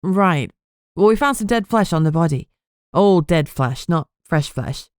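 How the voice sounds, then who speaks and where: A woman's voice: on the low side, animated, measured pace, smooth, dry recording, studio quality. Woman playing a blunt, no-nonsense female investigator. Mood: businesslike. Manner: blunt, businesslike. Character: brusque, determined female investigator questioning her informant. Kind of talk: audiobook character dialogue.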